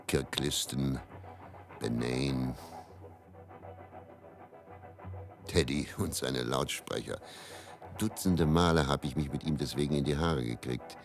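An actor playing a bitter, world-weary travelling faith healer and quack in a radio play monologue, musing and theatrical. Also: male voice, rumbling low, gravelly, heavily acted. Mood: wry, reminiscing. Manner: brooding, musing, repeats words, theatrical shifts between murmur and outburst.

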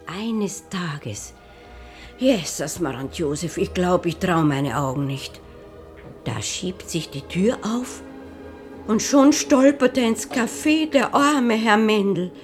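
A café woman recounts a sorry sight in homely dialect. She speaks slowly. Soft music plays faintly beneath. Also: medium pitch, lively intonation. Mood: pitying. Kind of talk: radio drama acting.

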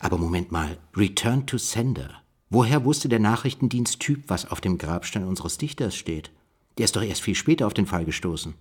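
An actor playing a pondering investigator who muses through clues of a literary case in a radio play. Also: male voice, lowish voice, animated, normal speed, a little mellow, husky. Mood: puzzled, musing.

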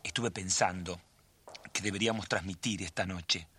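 An actor playing a worried, secretive grown son in a radio family melodrama, with a husky voice and a Rioplatense accent. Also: male voice, low-pitched, fairly even intonation, normal speed, pushed and bright, a little hiss or hum. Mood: earnest. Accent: Argentine, Rioplatense. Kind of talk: radio drama acting.

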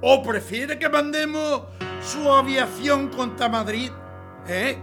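A man's voice: very high voice, slow, over music, exaggerated voice. A man playing an arrogant, contemptuous army general in an audio drama, sneering, mocking and commanding.

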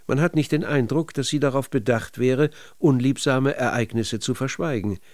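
A man narrating a literary radio documentary in a measured, sober manner. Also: mid-range voice, a little mellow, no echo.

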